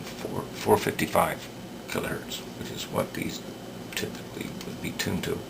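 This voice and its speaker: A man giving unscripted workbench commentary as a repair hobbyist, hesitant and halting as he thinks aloud. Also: sits low, steady tone. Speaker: radio repair hobbyist demonstrating work. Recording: clear background noise.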